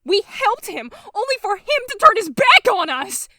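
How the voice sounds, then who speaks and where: A woman voicing an earnest, emotional young heroine with dramatic delivery in an animated drama. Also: very high-pitched, normal speed, no echo, clean studio sound, heavily acted. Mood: desperate. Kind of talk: dramatic character acting.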